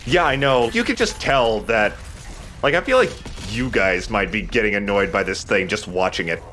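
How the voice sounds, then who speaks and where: Bright-voiced low man, sardonic gamer. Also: expressive, moderate pace, a little hiss or hum. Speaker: let's play host playing the game. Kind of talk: casual joking gameplay commentary.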